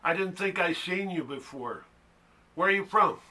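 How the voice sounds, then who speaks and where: A man's voice: high voice, animated, moderate pace, bright, forceful tone, far from the mic, voice pushed up, exaggerated voice. A man playing a chatty small-town barfly in a stage monologue, folksy, pushy and rambling. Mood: nosy. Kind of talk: theatrical monologue dialogue. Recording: noisy background.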